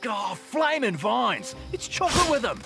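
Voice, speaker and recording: A cheeky Australian game villain with a gravelly edge. His voice sits fairly high and swings in pitch as he brags and blusters in broad Australian tones, over prominent music. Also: big pitch swings, unhurried, full character voice. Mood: sheepish. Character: brash Australian male supervillain, rough and cheeky. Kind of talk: video game cutscene acting.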